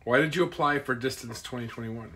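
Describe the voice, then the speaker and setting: A man's voice: medium pitch, brisk, bright, forceful tone. A man off camera asking short, plain interview questions. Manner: brief and plain.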